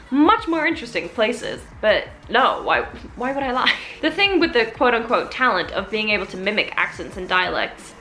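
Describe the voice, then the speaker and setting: A young woman from Switzerland with a mixed American and British English accent, chatting casually to camera in a vlog. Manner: chatty and animated, with frequent pitch jumps. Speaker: YouTuber.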